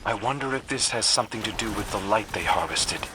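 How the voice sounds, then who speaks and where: A man voices a wary strategist in a video game. He has a somewhat low voice and a wry, musing way of talking. The recording has clear background noise. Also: expressive, fairly quick, close to an everyday voice. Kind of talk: scripted video game dialogue.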